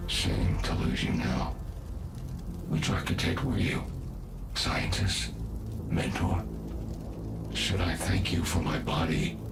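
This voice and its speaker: A man playing a sinister, gloating video game villain who talks in a menacing, theatrical, deliberate way. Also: rumbling low, sing-song, echoey room, music in the background, full character voice. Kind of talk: video game cutscene acting.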